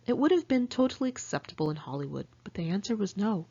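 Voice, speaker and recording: A woman's voice: mid-range voice, sing-song, fast, dark tone, breathy edge, dull audio. An American woman narrating her own memoir in a candid, conversational, salty-tongued way. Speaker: author reading own memoir. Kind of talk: first-person audiobook narration.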